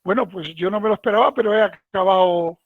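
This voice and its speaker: A man gives a relaxed, folksy technical talk over a video call. His voice sits fairly high. The audio is dull and lo-fi, with a dry, close sound. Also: fast talker, no echo. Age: 70s. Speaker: guest lecturer. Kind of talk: online technical lecture.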